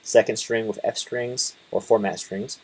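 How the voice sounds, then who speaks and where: A man in his twenties casually talking through a coding tutorial, relaxed, with lots of fillers and restarts. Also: lowish voice, unhurried, slightly rough, poor audio. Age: 20s. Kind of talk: casual screen-share tutorial.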